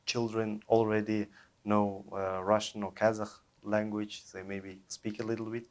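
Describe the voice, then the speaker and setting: A man's voice: lowish voice, level tone, a little slow. A man hosting an interview, asking questions in English hesitantly and backing them with short acknowledgements. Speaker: interviewer, host.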